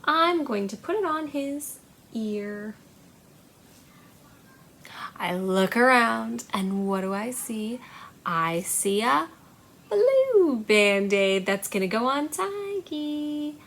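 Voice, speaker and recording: A woman hosting a storytime for young children, speaking in a deliberate, singsong way. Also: a little high, big pitch swings, vocal fry, a bit bright. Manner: singsong, deliberate, repetitive phrasing for small children. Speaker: children's library storytime host. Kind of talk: storytime read-aloud and simple activity for young children.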